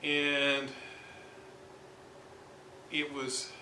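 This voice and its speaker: A man giving an informal hands-on repair demonstration, thinking aloud in a halting way with long pauses. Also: medium pitch, completely flat delivery, pushed and bright. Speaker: hobbyist radio restorer presenting his repair. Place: indoors at a repair workbench. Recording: roomy, noisy amateur workshop recording.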